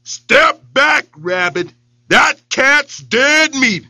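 A storyteller voicing a big, bossy male lion who shouts and growls his threats. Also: high voice, expressive, extremely slow, creaky, no room sound, clean studio sound, pitched above the performer's own voice, heavily acted. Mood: menacing.

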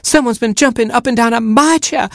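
A reader clucking as Mama Hen, a bossy scolding hen. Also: female voice, on the high side, brisk, no echo, clean studio sound, voice pushed up, close to an everyday voice. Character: bossy fussy mother hen, quick-tempered.

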